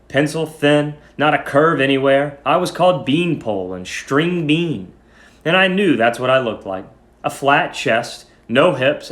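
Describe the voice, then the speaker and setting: Male story reader: highly varied intonation, expressive and deliberate, recorded in a roomy, echoing space. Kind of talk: dramatic reading of a short story. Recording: roomy echo.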